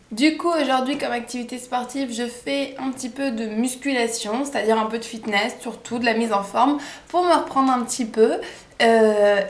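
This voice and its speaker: A twenty-year-old woman from France talks to her camera in a relaxed, enthusiastic way, pausing often with small hesitant fillers. Her voice sits a little high. The room around her is echoey, with a camera-mic sound. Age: 20s. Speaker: vlogger. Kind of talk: casual introduction vlog.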